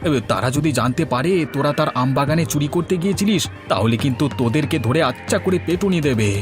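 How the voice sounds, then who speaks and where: A man's voice: a little high, expressive, quick pace, lightly acted. A man playing a genial-seeming but sly village uncle in a fairy-tale cartoon, with music behind. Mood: sly, warning.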